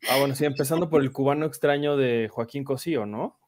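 Man, middle pitch, critical, opinionated, casual talk. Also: quick pace, a little mellow. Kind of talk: casual chat.

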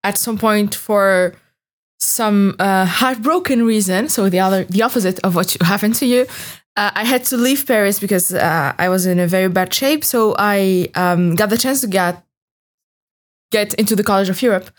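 A woman from Tunisia speaks as a guest on a podcast. She has a medium-pitched voice and talks in a casual, conversational way. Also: normal speed.

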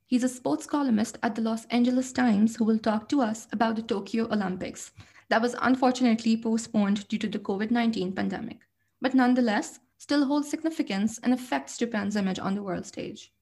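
A young woman co-hosting a student podcast, reading her scripted lines in a measured way. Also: on the high side, vocal fry, warm tone. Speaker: podcast co-host.

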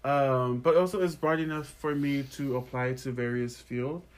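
A man's voice: mid-range voice, steady tone, normal speed. Young man from American Samoa, earnest interviewee. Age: young adult. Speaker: interview guest. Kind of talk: reflective personal interview answers.

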